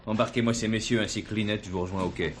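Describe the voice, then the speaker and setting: An actor playing a courteous, unflappable police commissioner in a stage play, urbane and authoritative. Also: male voice, on the low side, fairly even intonation, fast, a little hiss or hum, lightly acted. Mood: brisk, composed. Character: unflappable, courteous police chief giving orders. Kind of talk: filmed stage play acting. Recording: old theatre recording with music behind.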